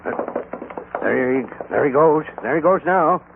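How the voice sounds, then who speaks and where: An actor playing a grumbling, folksy old cowboy sidekick with an Old West drawl, in an old radio western. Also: male voice, on the high side, expressive, slow, vocal fry, exaggerated voice. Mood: excited. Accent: American Old West drawl. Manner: folksy, cantankerous, exclaiming. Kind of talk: 1940s-50s radio western acting. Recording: tinny, hissy old radio recording.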